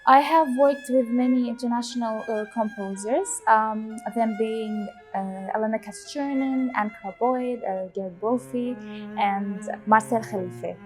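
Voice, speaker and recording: A woman in her twenties, born in Syria, a cellist and teacher speaking in a relaxed interview, with many pauses and fillers. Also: a little high. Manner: hesitant, many fillers, warm about music. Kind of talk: relaxed personal interview answers.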